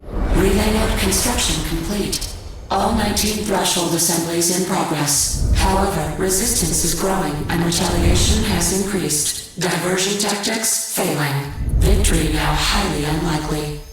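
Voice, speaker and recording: Echoing, low female alien computer voiced by an actress. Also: measured pace, noisy background, natural voice. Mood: cautioning. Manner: clipped, emotionless, procedural. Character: cool, dutiful female alien ship computer advising its commander. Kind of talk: status report narration. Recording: echoing, distant, under loud music.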